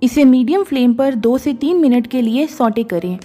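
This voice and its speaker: Calm woman narrating a recipe. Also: sits high, moderate pace. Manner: calm, instructive, mixes English words into Hindi. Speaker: cooking show host. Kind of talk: step-by-step recipe voice-over. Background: soft background music.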